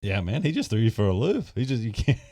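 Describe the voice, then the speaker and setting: A man's voice: medium pitch, very animated, fast talker, dark tone. A man co-hosting a podcast, chatting casually about sports in an easygoing, joking manner. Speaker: podcast co-host.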